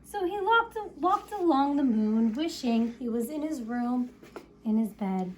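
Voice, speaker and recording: Woman, very animated children's storyteller, high voice, slow pace, playful read-aloud. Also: low-quality recording. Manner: expressive, playful, drawn-out storytelling. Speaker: story time reader. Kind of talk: children's picture book read-aloud with chatty asides.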